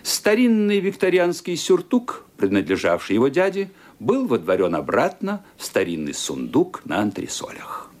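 The narrator of a radio crime drama. His intonation swings very widely from phrase to phrase. He tells the tale in measured, scripted, dramatic lines. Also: mid-range voice, wildly swooping pitch. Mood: measured, suspenseful.

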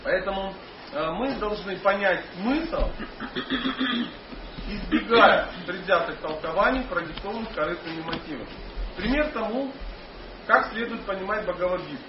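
A man with a foreign accent, not a native speaker, lecturing an audience on scripture in a loose, halting way with many fillers and restarts. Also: high-pitched, lively intonation. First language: not Russian. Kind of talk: informal religious lecture to an audience. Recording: echoey hall, mic at a distance, dull sound, noisy.

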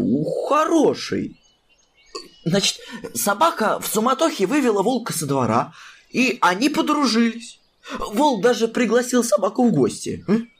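Woman voicing a lazy male cat, audio tale, sighing, slow, lively pitch. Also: slightly rough, full character voice.

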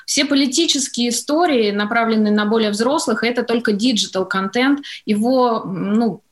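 A woman answering as an interview guest, speaking diplomatically and choosing her words with some hesitation. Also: fairly high pitch. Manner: measured, diplomatic, hesitant phrasing. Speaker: interview guest, animation studio executive.

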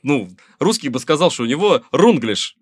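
A man's voice: on the high side, sing-song, moderate pace, dry recording. A middle-aged Russian man, a musician guest in a casual interview, talking wryly in rambling sentences with many fillers and restarts.

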